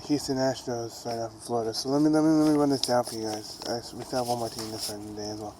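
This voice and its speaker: A man's voice: mid-range voice, steady tone, very fast, mellow, slight background noise, low-quality recording. Nasal young man vlogging outdoors. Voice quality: nasal, congested. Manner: rambling, with restarts and fillers. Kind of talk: casual rambling baseball vlog. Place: outdoors near buildings. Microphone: phone camera held low.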